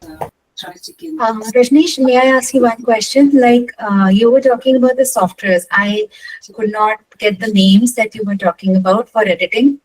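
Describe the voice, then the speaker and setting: A woman's voice: on the high side, unhurried, darkish tone, low-quality recording. A woman putting polite questions to a speaker in a webinar. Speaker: participant asking questions.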